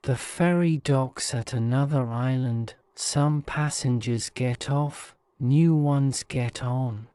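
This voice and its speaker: A man narrating a guided-imagery sleep story in a soothing, measured manner. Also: mid-range voice, warm tone, clear, resonant tone, dry recording.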